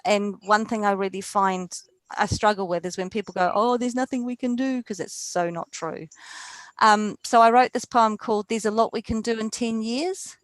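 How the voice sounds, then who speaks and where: A woman's voice: mid-range voice, dry recording, rough recording. A woman from New Zealand with a New Zealand accent, performing a spoken word poem with a rhythmic, rhyming delivery over a video call. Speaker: poet.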